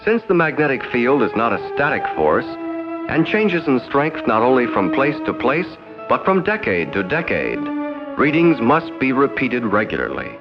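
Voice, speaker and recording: An American man narrating a 1960s educational film in a formal, measured, no-nonsense way. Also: mid-range voice, huge pitch swings, loud music behind the voice. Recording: dull 1960s 16mm film soundtrack.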